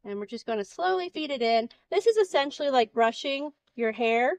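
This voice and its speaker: A woman in her thirties with a fairly high voice talks through a hands-on craft demonstration. She explains each step in plain, informal language, picked up by a camera a short way off indoors. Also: animated, a little fast, darkish tone. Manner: explanatory, uses simple everyday comparisons. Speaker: fiber spinning demonstrator. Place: indoor farm shop with concrete floor. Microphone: handheld camera a short distance away.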